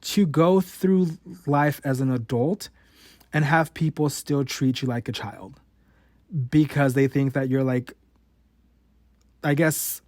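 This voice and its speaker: A man in his late twenties talks on his podcast with a stutter that repeats words and cuts sounds short. He is blunt and frank and swears freely. His voice sits at an ordinary pitch and is recorded dry and close. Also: slow pace, long pauses, darkish tone, no echo. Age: 20s. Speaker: podcast host. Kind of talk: candid personal monologue.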